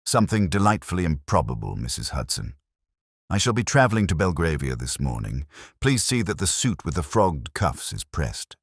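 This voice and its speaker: A brisk Victorian detective as a male audiobook reader plays him, coolly analytical and precise. The voice is very low and moves expressively, recorded dry. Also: big pitch swings, breathy edge, dry recording, exaggerated voice. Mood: brisk, keen.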